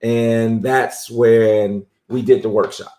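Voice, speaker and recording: A man hosting a live interview show, talking in a relaxed, friendly way with lots of fillers. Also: mid-range voice, slow, echoey room. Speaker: host. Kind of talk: casual interview on a live stream.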